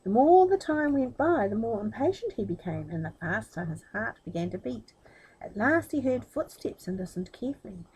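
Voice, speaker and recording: A woman's voice: on the high side, sing-song, normal speed, dark tone, slightly rough, low-quality recording. A woman reading a children's story expressively, dramatic and speaking directly to her listeners.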